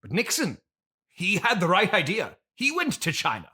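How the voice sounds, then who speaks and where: A man plays a self-important thespian in a comedy mock interview. His voice is bright and sits slightly high. He is earnest, easily put on the defensive and snobbish about the classics. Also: wildly swooping pitch, normal speed, slightly rough, exaggerated voice. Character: pompous, touchy, classically trained male stage actor.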